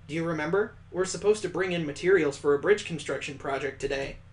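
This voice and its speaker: A man's voice: fairly high pitch, fast talker, close to an everyday voice. A man voicing a cheerful, precocious child who speaks fondly and patiently in a visual novel.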